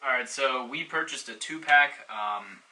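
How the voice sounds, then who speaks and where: Bright-voiced man doing a casual product demo. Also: medium pitch, measured pace. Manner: informal, step-by-step explaining. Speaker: product reviewer. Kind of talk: casual unboxing review and how-to demonstration. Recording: distant mic, faint background noise.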